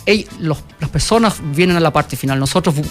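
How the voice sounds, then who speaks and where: A man from Germany with a German accent, a company founder answering questions in a radio interview, halting and full of fillers and restarts. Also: on the high side, soft background music. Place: radio studio.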